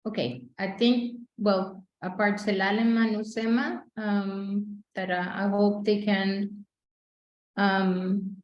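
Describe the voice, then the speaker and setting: A woman's voice: mid-range voice, little pitch movement, slow pace. A woman hosting an informal online meeting, speaking a little hesitantly over a video call. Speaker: webinar host. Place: indoor room on a video call. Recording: echoey room, muffled video-call audio.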